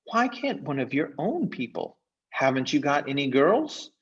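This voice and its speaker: A man's voice: a little high, very animated, unhurried, fairly bright tone, dull audio. A man voicing a brave, earnest young girl with a questioning, resolute manner, in a storybook reading. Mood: puzzled.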